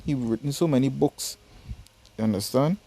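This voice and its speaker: A man's voice: medium pitch, moderate pace, gravelly, dark tone, breathy edge, low-quality recording. A man from Trinidad with a Trinidadian accent, reading Bible verses aloud and explaining them in a casual teaching style that keeps checking in with "right?" and "you understand?".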